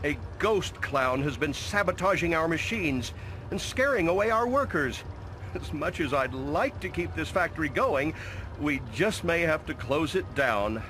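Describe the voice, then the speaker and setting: Smarmy, animated factory boss. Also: male voice, a little high, measured pace, a little hiss or hum. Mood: mock regretful. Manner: pompous, salesman-like. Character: smarmy, blustering male candy factory owner.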